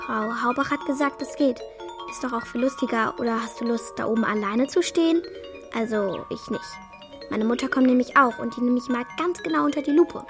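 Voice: medium pitch, a little fast, music in the background, close to an everyday voice. A performer playing a bold, talkative schoolgirl with a direct manner in a children's radio play. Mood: forthright chatty. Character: Hülya, bold, chatty, forthright schoolgirl.

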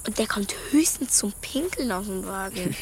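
A woman's voice: mid-range voice, expressive, moderate pace, slight background noise, natural voice. A woman playing a frank, stubborn young schoolgirl in a naturalistic children's radio drama, speaking plainly. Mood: matter-of-fact.

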